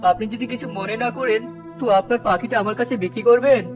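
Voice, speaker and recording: A man's voice: very high voice, fast, music in the background. A man playing a polite, money-minded bird buyer in a children's cartoon. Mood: polite, bargaining.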